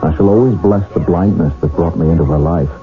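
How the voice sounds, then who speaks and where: A man's voice: low-pitched, fairly quick, soft background music, close to an everyday voice. A man acting in a 1970s radio drama as an earnest, insistent husband who seeks the truth, solemn and dramatic. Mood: tender, reflective. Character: earnest husband demanding the truth, narrating his past. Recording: tinny, band-limited 1970s radio recording.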